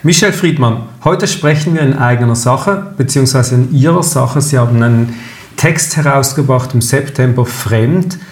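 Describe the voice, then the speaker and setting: A man interviewing a guest in a measured way, framing long, careful questions. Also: medium pitch. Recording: roomy echo.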